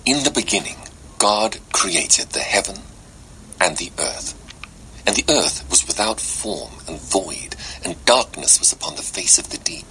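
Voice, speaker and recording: A man giving a solemn, measured and dramatic scripture reading, played back through a small portable speaker. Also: sits low, expressive, pushed and bright. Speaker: audiobook narrator. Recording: small device speaker playback, noisy room.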